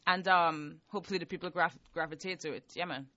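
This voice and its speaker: A young woman in her early twenties from Guyana, a singer guest on a radio interview, soft-spoken and modest. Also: fairly low pitch, fairly even intonation, fast, no room sound, muffled recording. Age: early 20s.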